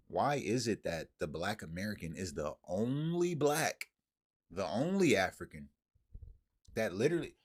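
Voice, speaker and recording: A man's voice: on the low side, darkish tone, slightly rough. A man delivering a heated, profane monologue, firing off rhetorical questions at his viewers. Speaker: commentator.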